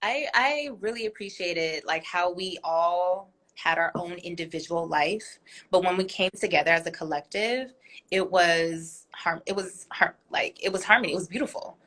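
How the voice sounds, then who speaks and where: A woman's voice: fairly low pitch, normal speed, a bit bright. A woman whose first language is Korean, speaking as a guest in a casual interview over a video call, earnest and reflective. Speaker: guest, business owner.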